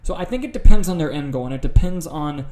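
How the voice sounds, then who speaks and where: A man's voice: a little high, animated, fast, dark tone. A man hosting a podcast in a casual film discussion style. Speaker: podcast host.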